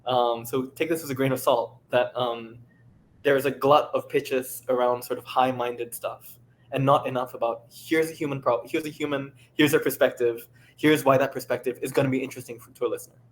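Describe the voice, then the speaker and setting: A man speaking as a panelist, giving advice in casual, earnest, halting conversational talk. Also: mid-range voice, steady tone.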